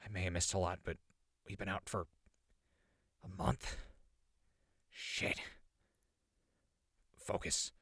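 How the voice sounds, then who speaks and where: Man acting a captive wasteland survivor, deep gravelly voice, rattled, halting, audio drama diary, dry close sound. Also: flat delivery, a bit bright, no room sound, full character voice. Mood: weary, focused. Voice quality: gravelly, husky. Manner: halting, muttering to himself, exclaiming. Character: rattled captive male survivor who narrates into his recorder. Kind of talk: post-apocalyptic audio drama, self-recorded diary monologue.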